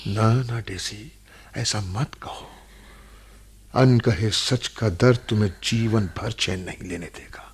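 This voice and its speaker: An actor playing an earnest, probing playwright visiting a prison in a radio drama, speaking with emotion, insistence and dramatic pauses. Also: male voice, fairly low pitch, husky, exaggerated voice. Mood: pleading.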